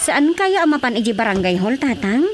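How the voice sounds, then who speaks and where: A woman's voice: on the high side, very animated, brisk, faint music behind. A woman with an Ilocano accent playing a questioning, nagging village woman in a radio comedy drama. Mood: pressing, nagging.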